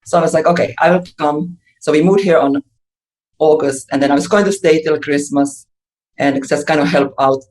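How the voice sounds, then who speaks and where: A woman from Finland telling her story as a podcast guest, lively and chatty. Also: low voice, moderate pace, poor audio. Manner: lively, chatty, laughs often. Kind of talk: interview storytelling.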